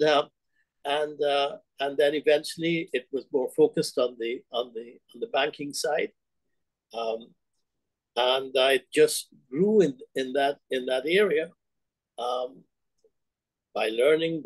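A man's voice: on the high side, no echo, rough recording. An older man from Guyana, a bank chief, telling his story on a video call with hesitant restarts and fillers. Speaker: bank CEO guest. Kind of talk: remote interview, reflective storytelling. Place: home room, indoors. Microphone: webcam on video call.

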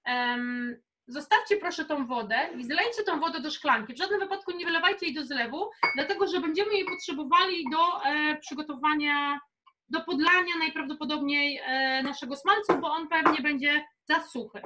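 A woman's voice: high voice, moderate pace, very noisy. A young woman leading a casual live cooking workshop in a chatty, informal, encouraging way. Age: young adult. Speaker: cooking instructor. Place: home kitchen, indoors.

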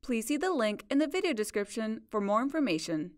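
A woman narrating a scripted software tutorial in a measured, instructional way. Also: a little high, very animated, no echo, clean studio sound. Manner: clear, measured instructional delivery. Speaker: support video narrator.

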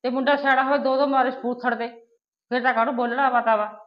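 An actress as a blunt, indignant Punjabi mother in an amateur family drama. She complains quickly in a high voice. The recording is dull and muffled. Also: level tone, close to an everyday voice. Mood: complaining. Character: indignant, outspoken Punjabi mother of a married daughter. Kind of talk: amateur short-film family drama acting, conversational.

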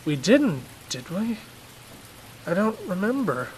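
A man's voice: high-pitched, sing-song, takes it slowly, slight background noise, pitched above the performer's own voice, full character voice. A man playing a harried single father in a horror story reading, worn down, exasperated and emotional. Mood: weary.

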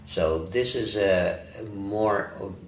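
A man in his forties from Ukraine, a breathwork teacher, answering interview questions in a calm, reflective way with frequent fillers. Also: deep voice, extremely slow, slight background noise. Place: bright studio room, indoors. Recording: roomy studio echo.

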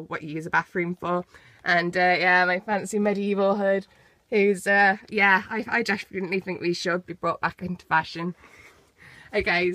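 A woman with a bright, forward voice narrates a casual vlog tour. She talks informally to her viewers and drifts into chatty asides. The sound is dry, caught on a handheld phone. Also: mid-range voice, dry recording. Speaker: vlogger showing own off-grid setup. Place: outside by a caravan, then inside it. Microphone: handheld phone behind the camera.

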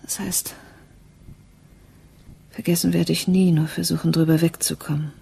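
A woman's voice: sits low, little pitch movement, normal speed, creaky, mellow, slightly rough, full character voice. An actress playing a grieving, guarded mother in a German radio crime drama, subdued and reserved. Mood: guarded, weary. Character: grieving, guarded, bitter mother of a dead child.

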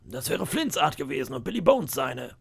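Sly old pirate cook, raspy voice, pitched up a bit, storybook reading. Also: male voice, very animated, moderate pace, heavily acted. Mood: scheming. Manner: wheedling and boastful, swings to menace. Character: cunning one-legged old pirate cook, male. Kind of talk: audiobook character voice.

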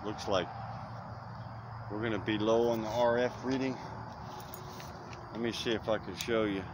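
A man's voice: fairly low pitch, steady tone, moderate pace. Rambling man camping outdoors. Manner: rambling, folksy, trails off with fillers. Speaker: vlogger. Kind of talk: casual outdoor vlog. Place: outdoors in dense brush at dusk. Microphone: phone camera held close. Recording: noisy outdoor phone recording. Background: crickets, insects and distant traffic.

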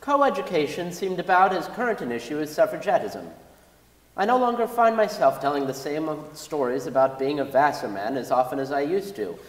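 A man's voice: on the high side, lively intonation, a little fast. A young man giving a scripted dramatic reading of an essay on a concert hall stage. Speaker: dramatic reader. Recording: echoey hall, distant from the mic.

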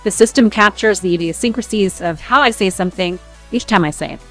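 A woman's voice: mid-range voice, fairly quick, faint music behind. A woman in her thirties speaking in an explanatory podcast style on a broadcast mic. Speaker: company lab head and podcast presenter. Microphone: broadcast podcast mic, headphones.

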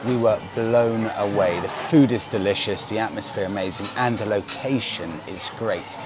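An enthusiastic man in his thirties talks casually to camera, his voice sitting fairly low. He wears a clip-on lapel mic, and clear outdoor street noise runs behind him. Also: moderate pace. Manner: enthusiastic, upbeat, chatty. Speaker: travel vlogger. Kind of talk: casual travel vlog to camera. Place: outdoors on a seaside promenade and in a cafe.

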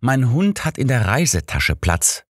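A man voicing a genteel, easily flustered older gentleman in an audiobook. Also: medium pitch, sing-song, unhurried, no echo, clean studio sound, voice pushed up.

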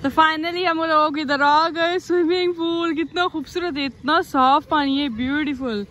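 High-pitched young woman vlogging casually. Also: steady tone, a little slow, fairly bright tone, highs cut off. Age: young adult. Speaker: vlogger, host. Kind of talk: casual travel vlog chat to camera. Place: busy restaurant, street and hotel grounds. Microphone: phone at arm's length. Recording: noisy outdoor and restaurant crowd background.

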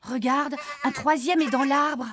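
A woman in a French children's cartoon dub playing a brisk, caring woman farmer who expressively directs others. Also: high-pitched, steady tone, background noise. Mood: brisk, concerned.

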